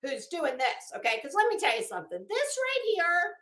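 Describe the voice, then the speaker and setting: A woman giving a casual, blunt, profane commentary to viewers, speaking emphatically and haltingly with drawn-out words. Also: very high-pitched, huge pitch swings, fairly bright tone. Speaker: online commentary host. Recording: roomy echo.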